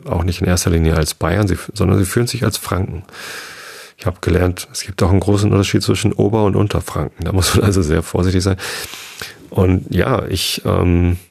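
A man from the Hamburg area, talking through his ideas in a reflective monologue, halting often with fillers and restarts. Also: low voice, creaky, a little mellow. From Northern Germany, Hamburg area. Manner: hesitant, many fillers and restarts.

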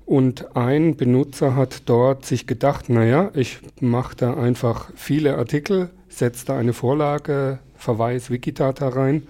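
A man in his forties whose first language is Alemannic, a podcast guest explaining things calmly with frequent pauses and fillers. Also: mid-range voice. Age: 40s. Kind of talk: conversational interview.

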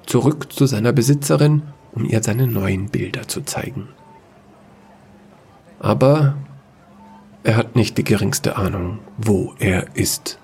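A man narrating a sci-fi fairy-tale audio story in a measured storytelling manner. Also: lowish voice, darkish tone, slightly rough.

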